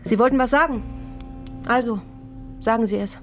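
A woman's voice: fairly high pitch, normal speed, lightly acted. Woman acting middle-aged mother, exhausted, bitter, plain-spoken, accusing, naturalistic radio drama, music underneath. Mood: bitter, agitated. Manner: reproachful, plain-spoken, emotionally raw. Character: bitter, exhausted working mother of an injured teenage son.

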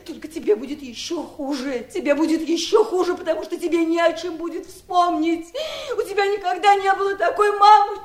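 An actress playing a fragile, unhappy middle-aged aunt of faded gentry, emotional and confiding, in theatrical 1970s radio drama style. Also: female voice, sits high, close to an everyday voice. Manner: emotional, tremulous, confiding, repeats herself. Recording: roomy vintage radio play recording.

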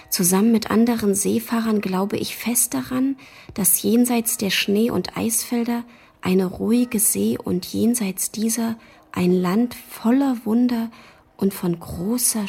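An actress playing a worried, affectionate sister in a radio drama, reading letters in an intimate half-whisper. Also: female voice, medium pitch, darkish tone, heavily acted. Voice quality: breathy, half-whispered, creaky.

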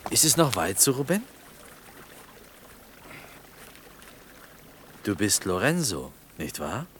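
A humble, naive young village potter. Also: male voice, fairly low pitch, very animated, moderate pace, a bit bright, a little hiss or hum. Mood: polite, cautious. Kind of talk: German radio play acting.

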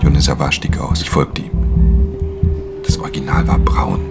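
A pickpocket telling his story, bottomless bass, half-whispered, measured, tense, radio play, music underneath. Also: fairly even intonation, creaky. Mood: hushed, tense. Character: tense male professional pickpocket recounting his theft. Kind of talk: first-person radio drama narration.

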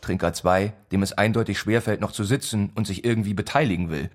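A man narrating a literary radio play about village life in a sombre, measured, deliberate way. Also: on the low side, soft background music, natural voice. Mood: dry, detached.

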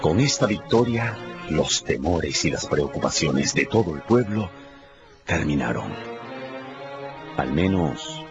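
A man's voice: rumbling low, big pitch swings, slow, pauses often, muffled recording, music in the background. A man delivering dramatic scripted narration for a radio story.

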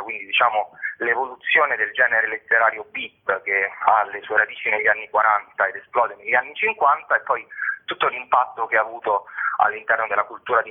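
A man's voice: fairly low pitch, husky. A man giving discursive, explanatory answers as an interviewed theatre curator and actor. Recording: band-limited phone line or radio sound.

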